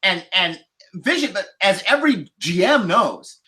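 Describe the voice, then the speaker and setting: A man in casual roundtable chat as a podcast panelist, agreeable and animated like a keen fan. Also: high voice, a little slow.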